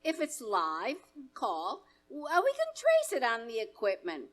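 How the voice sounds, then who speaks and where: A woman playing a cautious, official chief telephone operator in a live staging of an old-time radio play, measured and a little hesitant. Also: fairly high pitch, huge pitch swings. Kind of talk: live radio play staged as 1930s radio acting.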